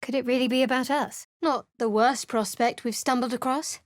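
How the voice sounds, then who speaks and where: A woman's voice: high voice, fairly even intonation, moderate pace, no room sound, close to an everyday voice. A woman voicing a guarded, sardonic young cleric adventurer in a video game, speaking with dry, cutting wit and cool reserve. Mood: wry.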